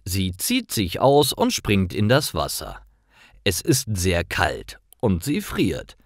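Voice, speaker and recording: A man narrating simple scripted stories for language learners in a careful, expressive way. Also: low-pitched, slow, dry recording, clean studio sound. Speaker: storyteller for German learners.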